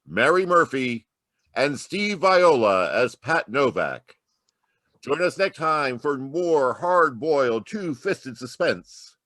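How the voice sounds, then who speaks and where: A man announcing in a scripted old-time radio style, theatrical and deliberate. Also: medium pitch, a bit bright, no echo, studio quality.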